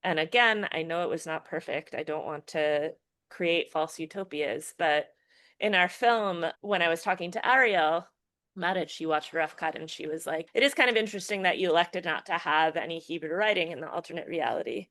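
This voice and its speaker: A woman's voice: on the low side, fairly quick, fairly bright tone, no room sound. A woman filmmaker talking through her work in a casual podcast interview, thoughtful and full of restarts and fillers. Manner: thoughtful, restarts often, many fillers like "you know". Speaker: filmmaker and educator guest.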